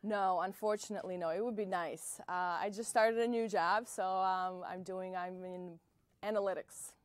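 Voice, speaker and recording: A young woman from Bosnia, a singer-songwriter guest answering interview questions in a soft, hesitant way with many fillers, in a small music venue. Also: medium pitch, fairly even intonation, moderate pace, clean tone, dry recording.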